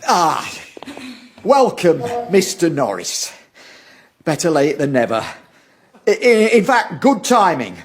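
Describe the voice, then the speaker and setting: An actor playing an officious, condescending council official with a formal manner in an audio drama. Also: male voice, on the high side. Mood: brisk, prompting. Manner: formal, pompous, stammers when challenged. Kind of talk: stage-like audio drama acting.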